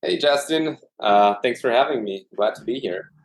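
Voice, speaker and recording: A man from Russia, an anesthesiologist in his thirties, telling his career story in a relaxed way with lots of fillers. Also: mid-range voice, normal speed, creaky, low-quality recording. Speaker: anesthesiologist guest. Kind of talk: casual interview conversation.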